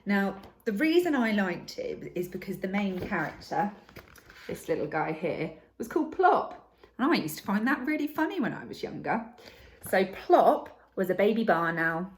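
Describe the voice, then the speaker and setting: Slow, very animated woman storyteller. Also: lowish voice. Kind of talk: expressive storybook reading. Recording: echoey room sound.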